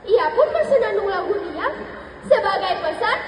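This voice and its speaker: A woman telling a children's story theatrically, with dramatic, drawn-out emphasis. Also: very high voice, very animated, crowd noise, background noise. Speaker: storytelling contestant. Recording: echoey hall with loud music behind.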